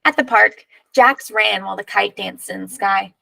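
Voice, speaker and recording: A woman reading a children's picture book aloud in measured, expressive narration, drawing out key words. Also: mid-range voice, gravelly, no echo.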